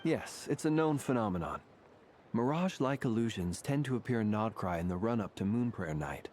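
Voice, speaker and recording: Actor as formal male monster hunter, low pitch, courteous, deliberate, precise, video game, slight noise behind. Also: animated, a little mellow, lightly acted. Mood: calm.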